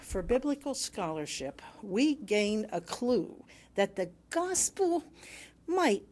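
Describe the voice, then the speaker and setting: A woman reading a sermon aloud to a congregation in a measured, emphatic way with wry humour. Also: mid-range voice, sing-song, a little mellow. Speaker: reader delivering another minister's sermon.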